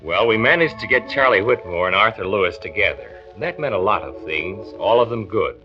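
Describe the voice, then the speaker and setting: An actor playing an earnest, persuasive county doctor in 1940s radio drama, with an American accent and measured explanatory delivery. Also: male voice, fairly low pitch, animated, lightly acted. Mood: satisfied, narrating. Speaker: radio drama lead and narrator. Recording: dull 1940s radio recording, music.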